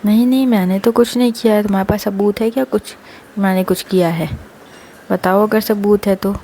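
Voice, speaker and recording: A spiteful neighbour, read by a woman storyteller, gossips in a mellow voice with a defiant edge. It is family storybook dialogue, with noise behind it. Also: mid-range voice, fairly even intonation, brisk, close to an everyday voice. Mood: defensive. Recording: clear background noise.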